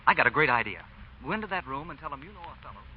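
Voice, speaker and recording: A cocky young mechanic. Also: male voice, on the high side, very animated, very fast. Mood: breezy. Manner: breezy, teasing. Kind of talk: 1940s radio acting. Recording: dull lo-fi old radio broadcast with faint hiss.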